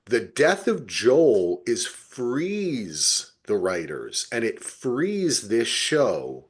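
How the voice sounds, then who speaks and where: A man's voice: medium pitch, huge pitch swings, very slow, slightly rough. A man co-hosting a podcast, chatting casually about television in an emphatic, opinionated way with some casual swearing. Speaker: podcast co-host. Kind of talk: casual TV review chat.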